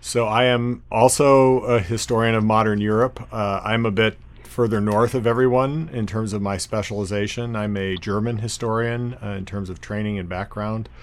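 A male historian on a panel, speaking haltingly with many fillers. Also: on the low side, fairly even intonation.

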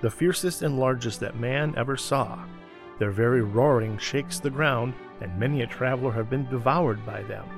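A woman's voice: rumbling low, normal speed, music in the background, voice pushed down. A woman voicing a solemn, sorrowful traveling man in a storybook reading, speaking in old-fashioned phrases.

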